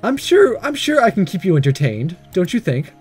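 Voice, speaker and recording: A man's voice: high-pitched, wildly swooping pitch, moderate pace, slightly rough, exaggerated voice. Man reading as a coy, flirty female teacher. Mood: coy, inviting. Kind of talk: visual novel read-aloud. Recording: faint music behind.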